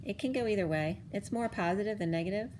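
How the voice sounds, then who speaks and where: A woman reading tarot cards for viewers in a casual, rambling way, her voice going hoarse. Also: medium pitch, darkish tone, slight background noise. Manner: rambling, halting restarts. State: losing her voice. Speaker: tarot reader. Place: home room, table of cards. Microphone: camera on the cards, speaker off screen.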